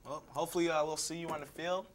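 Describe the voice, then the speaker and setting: Laid-back male host, slightly high voice. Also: steady tone, fast, a little hiss or hum. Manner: laid-back, friendly questioner. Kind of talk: casual interview.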